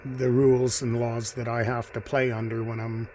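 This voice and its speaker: A man giving casual, off-the-cuff commentary as a radio hobbyist, in a halting, musing way. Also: mid-range voice, flat delivery. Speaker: radio hobbyist narrating a video. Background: shortwave radio noise.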